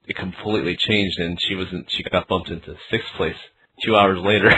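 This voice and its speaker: Deep, bright-voiced man, halting delivery. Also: steady tone, rough recording. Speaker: interviewer and developer of a learning game. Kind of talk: casual phone interview. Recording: phone interview with clear background noise.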